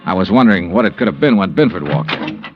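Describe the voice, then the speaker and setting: A wry hard-boiled investigator. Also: male voice, deep voice, lively intonation, fast. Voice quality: dry, clipped, hard-boiled. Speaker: narrator and lead. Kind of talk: 1950s radio drama narration. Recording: dull old radio broadcast with music.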